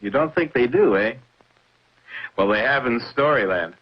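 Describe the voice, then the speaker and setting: Lively male storybook narrator. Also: mid-range voice, normal speed. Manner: warm, conversational storyteller addressing children. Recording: dull lo-fi old soundtrack with music.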